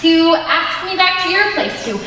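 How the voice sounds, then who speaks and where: A high school student actress playing a guarded, sharp-tongued young woman in a stage comedy, projecting with animated delivery in an auditorium. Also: normal speed, far from the mic, heavily acted. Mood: indignant, sarcastic. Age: teenager or young adult high school student. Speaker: student actor in a high school one-act play. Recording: echoey auditorium recorded from afar, noisy.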